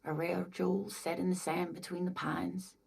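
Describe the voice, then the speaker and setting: A woman voicing a kindly, scatterbrained older married woman in a video game, gentle and doting, with music behind her. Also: lowish voice, little pitch movement, moderate pace, creaky, husky, heavily acted. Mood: gentle, nostalgic. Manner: gentle, doting, hesitant, calls listener dear. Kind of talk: video game dialogue acting.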